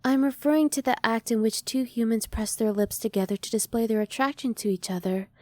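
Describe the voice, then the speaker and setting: A woman playing a curious, emotionally reserved female alien in an ASMR roleplay, soft-spoken, with formal, measured and precise wording. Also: fairly high pitch, dry recording, lightly acted.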